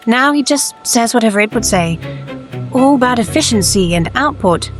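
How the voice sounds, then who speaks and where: A woman playing a wary, high-voiced factory worker. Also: animated, faint music behind, lightly acted. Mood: uneasy, confiding. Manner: hesitant, halting, urgent. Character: wary, sharp-eyed working-class female factory hand, earnest. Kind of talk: gothic period audio drama acting.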